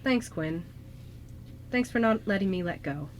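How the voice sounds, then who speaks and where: A woman playing a gentle, earnest young male ghost in an amateur radio drama, speaking sincerely and haltingly to a friend. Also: mid-range voice, lively intonation, slight background noise, close to an everyday voice. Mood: earnest, tender.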